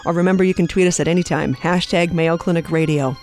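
A woman's voice: on the low side, fairly even intonation, fast, a little mellow, soft background music. A woman co-hosting a radio interview program in a conversational style. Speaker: radio co-host.

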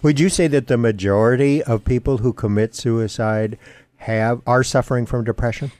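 A man's voice: mid-range voice, fairly even intonation, normal speed, darkish tone, no room sound. A man hosting a radio interview program in a conversational style. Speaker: radio host.

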